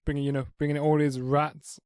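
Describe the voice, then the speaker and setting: Male podcast co-host, fairly high pitch, relaxed conversational banter. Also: brisk. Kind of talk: casual chat.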